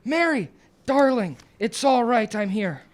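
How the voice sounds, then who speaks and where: A man's voice: extremely high pitch, expressive, unhurried. A man playing an earnest, tender young bridegroom in a radio drama, eager and reassuring.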